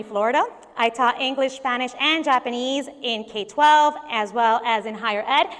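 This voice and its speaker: A woman from Venezuela giving a conference keynote speech in a lively, animated way. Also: high voice. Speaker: keynote speaker. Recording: long hall reverb.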